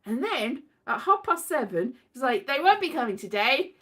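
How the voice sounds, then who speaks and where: A woman's voice: sits high, very animated, moderate pace, fairly bright tone. A British woman hosting a casual livestream, rambling in a whimsical, theatrical way. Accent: British English. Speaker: livestream host, voice actor. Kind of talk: rambling casual livestream chat with bits of singing.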